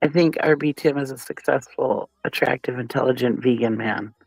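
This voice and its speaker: A woman's voice: very deep voice, normal speed, vocal fry, darkish tone, husky, dry recording, poor audio. Woman livestream host, congested and sniffly, blunt and profane, dry close sound, faint music behind. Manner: blunt and profane, laughs often. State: stuffy nose from a cold, sniffly. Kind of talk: casual livestream commentary chatting with viewers.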